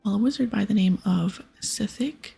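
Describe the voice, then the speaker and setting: A woman voicing a stern, busy aged wizard from a game in a formal, measured ASMR whisper. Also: mid-range voice, warm tone, soft background music, full character voice. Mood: grave, measured. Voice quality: breathy whisper.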